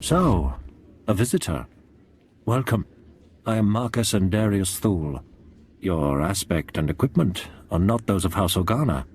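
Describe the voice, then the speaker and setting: An actor playing a proud captive nobleman in a video game, formal, deliberate and guarded, with a husky voice. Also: low-pitched, expressive. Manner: formal, deliberate, haughty phrasing. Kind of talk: dramatic video game acting.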